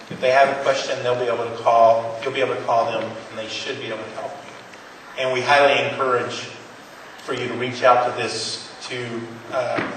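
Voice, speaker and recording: A man's voice: medium pitch, fairly bright tone. A man presenting at a conference to patients, earnest and encouraging, with frequent restarts. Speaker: pharmaceutical company presenter. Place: conference room. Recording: echoey hall from far off, faint noise.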